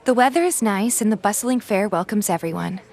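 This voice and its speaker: A woman's voice: mid-range voice, fairly quick, a little mellow, some chatter behind, lightly acted. An actress playing a sulky but fond young woman, narrating in the first person and acting out her grumbling lines. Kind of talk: first-person story narration with acted dialogue.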